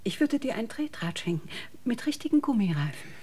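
An actress in a radio drama playing a lonely, gentle older woman, speaking softly and haltingly in a breathy half-whisper. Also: on the high side, big pitch swings. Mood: tender, wistful. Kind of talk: intimate German radio play acting.

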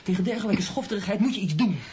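An actor playing an excitable, admiring young aspiring writer in a Dutch radio drama, earnest and emphatic with his friend. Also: high-pitched, very fast, slight background noise, natural voice. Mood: indignant.

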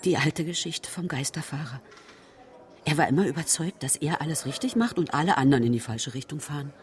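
A woman's voice: sits low, animated, brisk. Worried, bitter middle-aged mother. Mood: bitter, reflective. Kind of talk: naturalistic radio drama acting.

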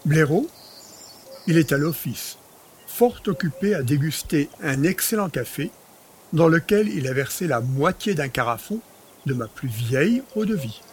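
A man acting as a wry, polished, well-to-do gentleman in a French audio drama. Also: on the high side, lively intonation, measured pace, slight background noise.

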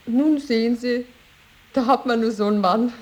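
Frau spielt helle, naive, plauderhafte Ehefrau. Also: female voice, on the high side, moderate pace, natural voice. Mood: artless, eager. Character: naive, chatty, tactless young wife. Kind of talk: German radio drama acting.

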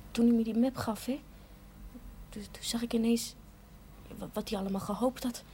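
A high, girlish Dutch voice from an actress plays a naive but plucky girl from a poor home. She rambles candidly and sometimes slips into a whisper, in naturalistic TV drama over faint noise. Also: fairly even intonation, moderate pace, dark tone, close to an everyday voice. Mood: emotional, bitter. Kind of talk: naturalistic 1970s television drama acting. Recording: faintly noisy 1970s television soundtrack.

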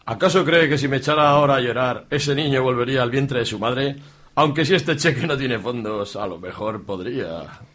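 Man acting a callous, flippant clinic doctor, husky. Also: mid-range voice, moderate pace, fairly bright tone, close to an everyday voice. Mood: flippant, cynical. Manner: breezy, cynical, rhetorical. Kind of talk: comic radio soap acting.

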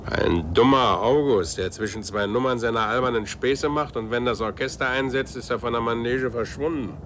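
An actor playing a condescending, smooth middle-aged business magnate with veiled menace, in a 1950s German radio play. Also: male voice, medium pitch, moderate pace, natural voice. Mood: mocking, threatening. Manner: patronising, suave, mocking. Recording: noisy vintage 1950s radio recording.

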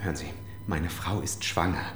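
Nervous, evasive husband, very low voice, stammering, echoey room. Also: male voice, moderate pace, fairly bright tone. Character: nervous married man caught out online.

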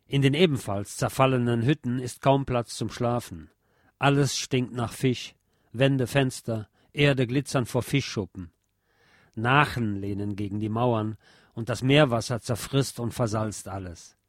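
A man reads literary prose aloud in an expressive, emphatic way, at a middle pitch. The recording is dry and close, with no room around the voice. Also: a little slow, dry recording. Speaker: reader of a literary text. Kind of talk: dramatic literary reading.

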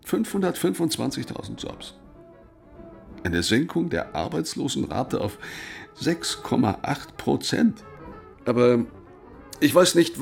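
An actor playing a frustrated, resigned man in a radio drama, speaking in a measured, rhetorical way. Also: medium pitch, gravelly. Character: frustrated, resigned man listing a recovery's failures.

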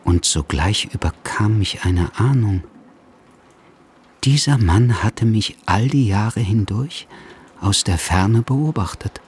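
An actor playing a writer who narrates a literary radio play in a grave, measured, suspenseful manner. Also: male voice, fairly low pitch, expressive, darkish tone, slight background noise. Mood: reflective. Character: grave male writer narrating his story. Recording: clear music behind.

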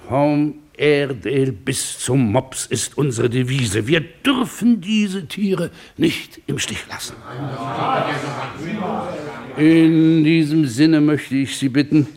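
An actor playing a pompous, blustering older club president and stern father in a 1950s German radio play, with an oratorical, gruff manner. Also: on the high side, slow pace, darkish tone. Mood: pompous, solemn.

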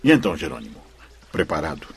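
An actor with a Brazilian accent playing a kindly, good-humoured priest who directs a spirit ward in a radio drama, speaking formally. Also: male voice, a bass voice, huge pitch swings, fast talker, gravelly, breathy edge, exaggerated voice. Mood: jovial.

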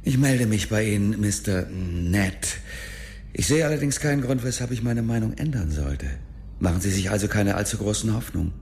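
An actor playing a blunt, condescending trend expert of about forty in a radio drama. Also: male voice, lowish voice, animated, normal speed, darkish tone, a little hiss or hum. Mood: cold, curt. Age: 40s. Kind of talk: German radio drama acting.

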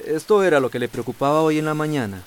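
A supportive mayor's aide in a radio soap played by a man. His voice sits a little high, eager to help, over faint noise. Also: quick pace, close to an everyday voice. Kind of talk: radio soap opera acting.

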